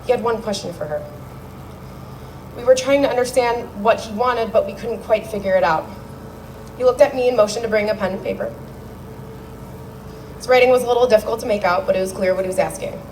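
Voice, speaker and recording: A young woman giving a memorial speech for her father into a handheld mic, telling the story with warmth and dry humor. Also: medium pitch, a little fast, long pauses, slight background noise, rough recording. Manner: heartfelt, wry storytelling. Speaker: daughter giving a eulogy speech. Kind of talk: memorial speech read aloud. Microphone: handheld microphone.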